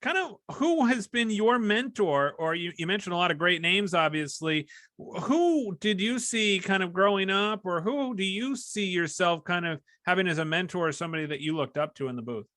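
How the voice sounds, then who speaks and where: Higher, bright, dry-sounding American male host. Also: expressive, normal speed, no echo. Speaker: podcast host, sports broadcaster. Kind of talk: conversational interviewing.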